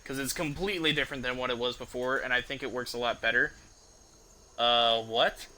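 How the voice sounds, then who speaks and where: A man streams a video game with a very bright, forceful voice at a middling pitch. He talks in a casual, sweary way, commenting live on the play, with faint noise and game ambience behind him. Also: steady tone, fairly quick, poor audio.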